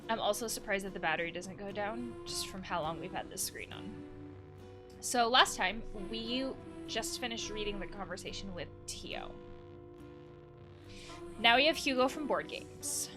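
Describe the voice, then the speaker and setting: A woman hosting a casual let's play, reading game text aloud and adding chatty asides as she reacts. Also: mid-range voice. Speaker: host of a video game let's play, therapist.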